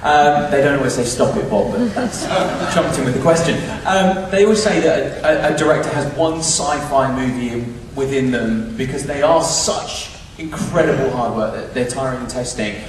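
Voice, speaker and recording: A man's voice: medium pitch, normal speed, hardly any pauses. Male event host, distant and very echoey. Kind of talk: live event hosting. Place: large cinema auditorium on a PA. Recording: very echoey cinema, distant, faint noise.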